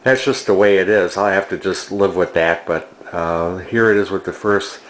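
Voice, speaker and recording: An older man with a fairly low voice talks through a hands-on project. He is plainspoken and matter-of-fact, often halting as he casually explains each step. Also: slight background noise, poor audio. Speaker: antique restoration hobbyist demonstrating a refinishing project.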